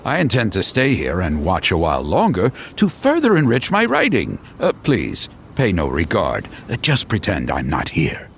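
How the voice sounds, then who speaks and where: An actor playing a cultured, retiring gentleman writer in a video game, formal, polite and hesitant. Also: low voice, wildly swooping pitch, moderate pace, vocal fry, hardly any pauses, a little hiss or hum, exaggerated voice.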